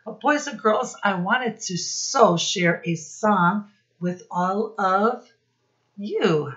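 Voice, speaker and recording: A middle-aged woman giving a read-aloud lesson to young children, speaking deliberately and instructively as their teacher. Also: medium pitch, expressive, fairly bright tone, lo-fi sound. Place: small indoor room with posters.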